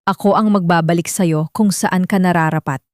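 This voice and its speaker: A woman's voice: fairly low pitch, steady tone, moderate pace, darkish tone, dry recording, studio quality, close to an everyday voice. A woman voicing a menacing male blackmailer with a controlled, threatening manner in an audio drama. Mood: sinister. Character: menacing male villain, cold scheming blackmailer.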